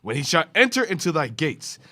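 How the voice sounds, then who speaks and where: A man reading scripture aloud in a class, with a steady, formal reading cadence. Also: a little high, a little fast.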